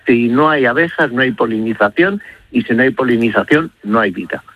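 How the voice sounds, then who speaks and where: A man's voice: mid-range voice, expressive, brisk, no echo, lo-fi sound. A middle-aged man from Spain with a Castilian accent, talking effusively and conversationally on the radio, rambling and repeating words for emphasis. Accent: Castilian Spanish. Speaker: radio travel and food contributor. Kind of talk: enthusiastic conversational radio talk.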